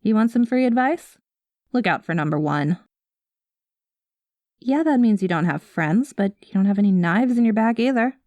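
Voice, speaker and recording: Casting: actress for cynical female space smuggler, ASMR roleplay. Medium pitch, wry conversational asides, guarded with a soft spot, very little room sound. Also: lively intonation, moderate pace, pauses often, no echo, highs cut off, lightly acted. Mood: cautioning, cynical. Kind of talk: ASMR roleplay addressed to the listener.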